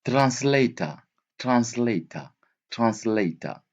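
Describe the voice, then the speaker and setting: A man's voice: mid-range voice, animated, slow, a little mellow, dull audio. A man teaching an English vocabulary lesson in a didactic way, repeating key words and switching between languages.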